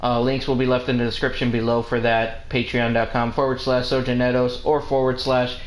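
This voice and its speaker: A man hosting a casual game livestream, giggly and halting in the way he talks. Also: medium pitch, level tone, echoey room. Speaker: streamer host. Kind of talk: casual livestream chat with playful dubbing of game subtitles.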